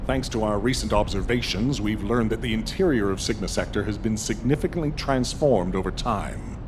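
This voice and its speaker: A man playing a serious operations leader, delivering measured, resolute briefing dialogue for a video game. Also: close to an everyday voice. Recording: clear rumbling vehicle noise behind.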